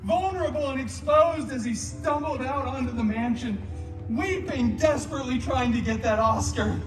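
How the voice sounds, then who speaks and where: A man's voice: extremely high pitch, moderate pace. A man playing a flamboyant, sarcastic antihero, telling a mock fairy tale live on stage with theatrical, chatty asides. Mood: mock tragic. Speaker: costumed theme park storyteller. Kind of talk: live comedic storytelling. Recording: echoey live show, distant, loud music. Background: loud music.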